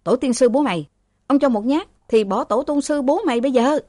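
Woman doing a hot-headed young guy, cursing, threatening, pitched up. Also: brisk, a little mellow, dry recording, clean studio sound.

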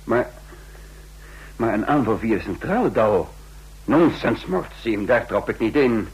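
An actor playing a shrewd, world-weary male spy in a Dutch radio play, with a measured, dry delivery. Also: lowish voice, animated, husky, exaggerated voice. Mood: shaken. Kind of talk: Dutch radio play acting, narration and dialogue. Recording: noisy old radio play recording.

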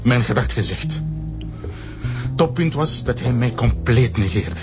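A man's voice: medium pitch, lively intonation, unhurried, natural voice. Male actor playing aggrieved middle-aged dad, blunt, defensive, radio play, music underneath. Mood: bitter. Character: indignant, defensive Moroccan father under police questioning. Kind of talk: naturalistic radio drama acting.

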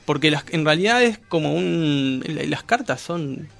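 An Argentine man in a casual radio discussion, explaining things in a halting way, correcting himself and often checking that he is followed. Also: fairly high pitch, animated, creaky, a bit bright, faint music behind. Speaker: guest discussing comics and manga.

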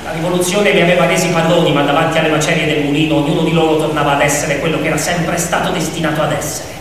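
A man performing a theatrical narrative monologue as actor-narrator, dramatic and declamatory, with repeated phrases and pointed pauses. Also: fairly high pitch, a bit bright. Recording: very echoey hall from far off, faint noise.

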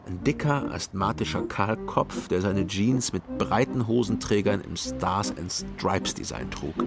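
Sober private eye for crime radio drama: deep-set, gravelly, measured, over prominent music. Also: male voice, lively intonation. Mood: sober, reflective. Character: East Berlin private detective narrating his case. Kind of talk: radio crime drama, first-person narration and dialogue. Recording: music behind the voice.

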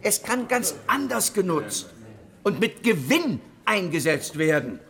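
An impassioned religious leader of a rebel brotherhood in a historical radio play. His voice is high and swings widely as he argues like an orator, emphatic and persuasive. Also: very animated, measured pace, a bit bright, exaggerated voice. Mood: firm, persuasive. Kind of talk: German historical radio drama acting.

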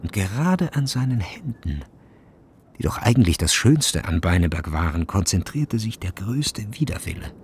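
A man narrating a literary radio play from a script in a measured, deliberate storytelling manner. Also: on the low side, very animated, a little mellow, breathy edge. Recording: studio radio play with music behind.

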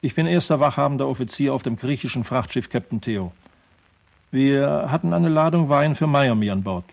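A man playing a sober first officer of a freighter, giving measured, factual testimony in a radio play. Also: medium pitch, gravelly, natural voice. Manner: measured, factual, short declarative sentences.

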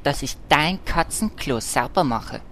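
A low-voiced huntress played by a woman bickers snappily and defensively. She sounds exasperated, in a fantasy parody radio play with faint noise behind. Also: expressive, a little slow, a bit bright, full character voice. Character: exasperated bickering female hunter. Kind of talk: German audio drama parody acting.

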